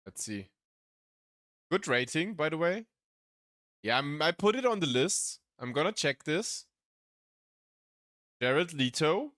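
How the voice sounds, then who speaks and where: A man with a German accent chatting casually as a streamer reacting to a video, pausing to search for English words. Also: fairly high pitch, fairly bright tone, dry recording, clean studio sound. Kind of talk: casual live-stream chat.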